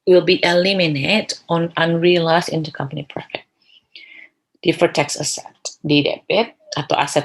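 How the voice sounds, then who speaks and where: A woman with an Indonesian accent and a low, husky voice, teaching as a lecturer. She explains step by step, switching into English terms and checking in with short tags as she goes. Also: low-quality recording. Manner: explanatory, often tags phrases with check-in particles. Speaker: accounting lecturer.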